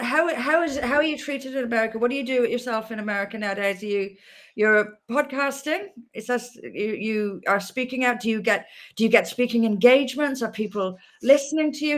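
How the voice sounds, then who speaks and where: Woman interview host, slightly high voice, halting and friendly-casual. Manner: hesitant, halting questions with fillers.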